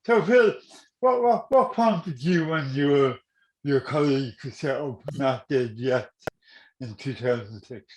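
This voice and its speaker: A man with a Dublin accent hosting an online interview informally, halting and often repeating his words. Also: medium pitch. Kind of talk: informal introduction and questioning at an online event. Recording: roomy, echoing online call.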